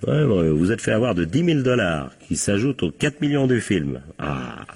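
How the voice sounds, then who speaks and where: An actor playing a sardonic police captain in a French radio crime drama, husky and colloquial, prodding with short tag questions. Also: male voice, on the low side, sing-song, moderate pace, darkish tone, full character voice. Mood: forceful, accusing. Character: male police captain, insistent, sardonic interrogator.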